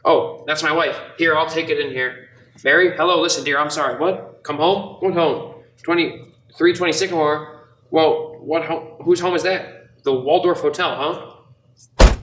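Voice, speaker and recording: A man playing an excitable, warm-hearted small-town family man in an amateur radio play. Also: a little high, measured pace. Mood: flustered. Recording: echoey room, distant mic, background noise.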